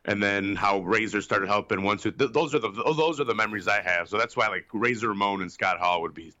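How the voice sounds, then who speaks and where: A man's voice: fairly low pitch, quick pace, bright, forceful tone, no echo, highs cut off. A man in his late twenties, a podcast guest, chatting easily with plenty of fillers and restarts. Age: 20s. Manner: easygoing, many fillers and restarts, laughs along. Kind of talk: casual chat.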